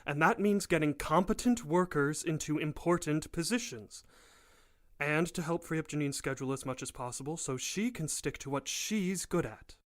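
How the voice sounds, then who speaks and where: An actor playing a persuasive professor who runs a research lab, polite and coaxing, in an audio drama. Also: male voice, fairly high pitch, normal speed, fairly bright tone, lightly acted. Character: smooth-talking, persuasive male professor running a research lab.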